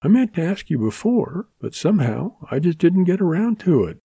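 A man's voice: high-pitched, very animated, normal speed, creaky, mellow, slightly rough, no room sound, voice pushed up, heavily acted. A man voicing an earnest, tearful young ranch woman in a read western story. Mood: gentle. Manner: pleading, heartfelt.

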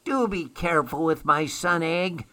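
A man voicing an excitable little storybook elf, earnest and protective, full of exclamations. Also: high voice, slow pace, pitched above the performer's own voice, heavily acted. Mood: alarmed pleading.

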